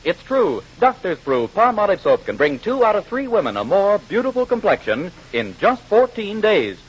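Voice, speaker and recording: A male announcer delivers a scripted 1940s radio ad. He is emphatic and persuasive, high and lively in pitch. The old broadcast sounds muffled. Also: moderate pace, slightly rough. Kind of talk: scripted 1940s advertising read.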